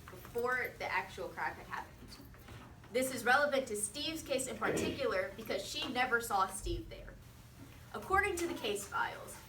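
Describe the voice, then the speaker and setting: A teenage girl giving a prepared persuasive student speech, repeating phrases for rhetorical emphasis. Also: mid-range voice. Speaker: student presenting a mock defense speech. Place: indoor classroom. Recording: roomy, distant mic, faint noise.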